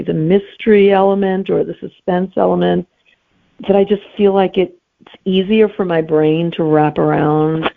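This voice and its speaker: A woman's voice: on the low side, creaky, phone-quality sound. A middle-aged woman answering as an interview guest in casual chat, reflective and wryly humorous. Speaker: romantic suspense author, podcast guest.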